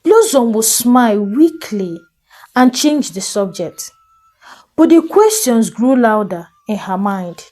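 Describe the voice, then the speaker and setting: A woman storyteller with a fairly high voice. She narrates a folktale slowly and deliberately, with lively swings of pitch and a soft, mellow tone. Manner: slow, expressive, deliberate storytelling. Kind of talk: dramatic scripted folktale narration.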